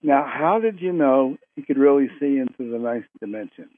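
A man hosting a spiritual conference call by telephone, speaking informally and deliberately in short phrases with long pauses. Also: mid-range voice, lively intonation, dry recording. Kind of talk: informal spoken introduction to a live group call. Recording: muffled telephone conference line.

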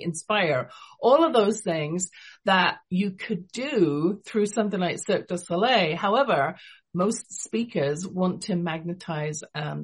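An enthusiastic woman with an English accent hosts a syndicated radio interview. Her voice has a husky, breathy edge, and she laughs easily as she leads the chat. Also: mid-range voice, poor audio. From England. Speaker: radio show host.

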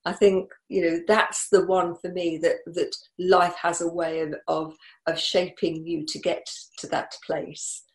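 A woman hosting a podcast, agreeing warmly with her guest and asking follow-up questions. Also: on the low side, level tone, unhurried. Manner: agreeable, conversational, asks follow-ups. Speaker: podcast host. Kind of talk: interview questions.

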